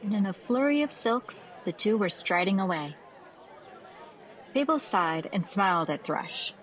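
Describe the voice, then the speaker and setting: A woman's voice: mid-range voice, expressive, measured pace, a bit bright, poor audio. A woman narrates a scripted fantasy tale in a mid-range voice. Faint crowd ambience runs behind her storytelling. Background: festival crowd ambience.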